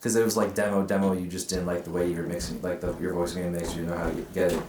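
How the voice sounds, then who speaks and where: A man interviewing an artist in a relaxed way, his questions full of restarts and trailing words. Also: sits low, very fast. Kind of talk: casual interview. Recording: roomy with faint background noise.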